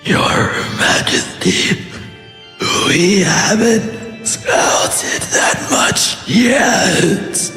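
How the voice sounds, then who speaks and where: A man playing a frail, fearful creature serving a king in a dark fantasy audio drama, with a broken, breathy voice and halting delivery. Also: high voice, full character voice. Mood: fearful, strained. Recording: echoing hall-like reverb, faint music.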